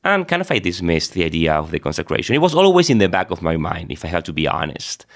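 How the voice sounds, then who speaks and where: Low-voiced Argentine priest, middle-aged man. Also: very animated, fast talker. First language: Spanish. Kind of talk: personal storytelling in an interview.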